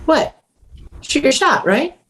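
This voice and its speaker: A woman's voice: high voice, very animated, slow, a little hiss or hum, full character voice. A woman playing a witty, sarcastic sixteen-year-old girl at a script table read, dry and flippant. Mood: cheeky.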